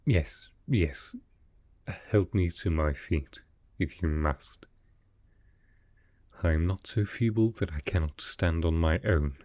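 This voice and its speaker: A man performs a sickly, melancholy male aristocrat in an intimate audio drama. The voice is very deep and dark, recorded dry and close. He speaks slowly and softly, with formal, proud reserve. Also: no echo. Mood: frail, reluctantly grateful. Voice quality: low, soft, with a cough. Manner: formal, measured, dryly reserved. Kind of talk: roleplay ASMR audio drama.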